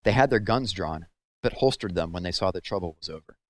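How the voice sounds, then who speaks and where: A man's voice: low-pitched, expressive, fairly quick. A man reading a children's novel aloud as storybook narration.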